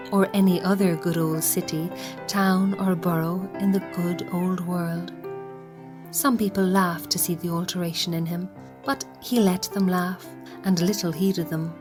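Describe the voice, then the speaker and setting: An Irish woman narrating a radio play in a measured, softly hushed storytelling manner. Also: on the low side.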